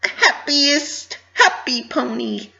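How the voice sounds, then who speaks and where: Casting: a young mare baker, cartoon style, self-doubting under forced cheer, very animated pitch, unhurried, muffled recording. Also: female voice, vocal fry, heavily acted. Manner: emotional, forced cheer over distress. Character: anxious young female pony baker, bubbly but self-doubting.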